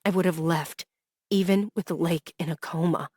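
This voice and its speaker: A woman's voice: on the low side, fairly even intonation, a little slow, breathy edge, no room sound, studio quality. A woman voicing a heartbroken young man who pours out jealous, desperate love, her delivery raw and pleading. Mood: anguished. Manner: raw, pleading, rising to anguished outbursts.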